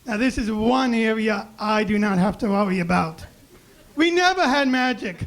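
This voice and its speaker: High raspy-voiced young man doing stand-up. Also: normal speed, poor audio. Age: young adult. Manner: self-deprecating deadpan delivery. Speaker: stand-up comedian. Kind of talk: stand-up comedy set. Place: comedy club stage. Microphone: handheld stage mic.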